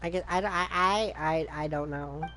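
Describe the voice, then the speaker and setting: A woman's voice: lowish voice, normal speed, fairly bright tone, a little hiss or hum. A woman streaming a video game, chatting casually with wry asides as she reacts. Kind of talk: casual playthrough reactions, some reading aloud. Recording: game music behind voice.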